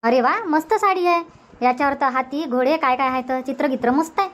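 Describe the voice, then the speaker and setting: A woman's voice: high voice, brisk, close to an everyday voice. A woman voicing an eager, chatty sari shopper in a cartoon, gushing and insistent. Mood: eager, delighted.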